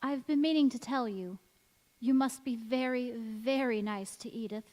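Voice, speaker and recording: A woman's voice: a little high, moderate pace, a little mellow, natural voice. A woman playing a polite, anxious married woman with genteel manners in a stage radio play. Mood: uneasy, wistful. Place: large hall, live stage performance. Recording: echoey hall with long reverb.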